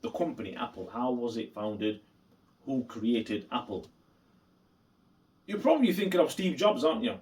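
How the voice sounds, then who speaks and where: A man giving opinion commentary as a reaction video host, speaking emphatically and deliberately and repeating points for stress. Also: medium pitch, sing-song, darkish tone. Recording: voice distant from the mic.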